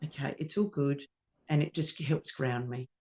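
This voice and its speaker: A woman's voice: sits low, fairly even intonation, normal speed, darkish tone, dull audio. Chatty woman. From New Zealand. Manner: chatty, friendly, loose with many ums. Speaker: ukulele teacher and performer, guest. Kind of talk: casual interview chat. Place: her home music studio. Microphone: video call.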